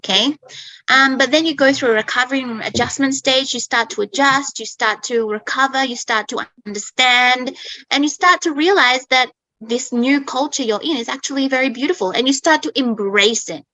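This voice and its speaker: A woman's voice: high-pitched, normal speed, pushed and bright, no echo, rough recording. A woman, probably in her thirties, telling a personal story to English learners in a chatty way, often adding "okay?". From Australia. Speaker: speaker in an English-learning talk.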